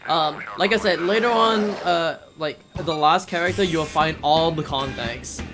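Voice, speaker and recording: A man giving casual live commentary as he plays a video game, excitable and easily amused. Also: a little high, measured pace, rough recording. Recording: game music playing behind voice. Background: video game music and sounds.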